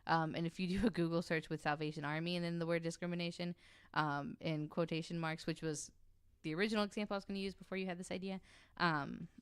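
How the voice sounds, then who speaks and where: Clear-voiced woman with a lowish pitch. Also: fairly even intonation, fast, no echo. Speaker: podcast panelist. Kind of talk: casual panel chat, reading out web pages.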